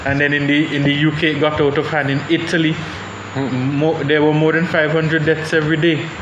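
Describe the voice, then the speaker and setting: A young man talks through his views on a panel, pausing and restarting as he thinks. His voice sits a little high, and the room is echoey with steady background noise. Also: highs cut off. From Saint Vincent and the Grenadines. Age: young adult. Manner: thoughtful, hesitant, many restarts. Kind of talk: panel discussion.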